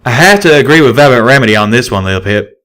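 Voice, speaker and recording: Guy doing a loud twangy country pony dude, blunt, storybook reading. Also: medium pitch, expressive, fast, voice pushed up. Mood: stern. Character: gruff country stallion pegasus, blunt and steady.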